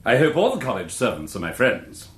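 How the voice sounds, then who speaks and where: An actor playing a dignified, cultured English college principal, giving courteous, careful testimony in a radio drama. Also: male voice, mid-range voice, huge pitch swings, brisk, fairly bright tone. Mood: careful, self-justifying. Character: dignified, cultured male Oxford college principal on the witness stand. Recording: echoey courtroom sound.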